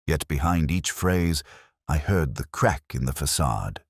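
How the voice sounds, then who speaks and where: A man playing a melancholy police inspector, narrating his case in measured, literary, introspective prose for an audio drama. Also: very deep voice, expressive, slightly rough, no echo, studio quality, full character voice. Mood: brooding, reflective. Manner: measured, literary, brooding delivery. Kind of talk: scripted first-person detective audio drama narration.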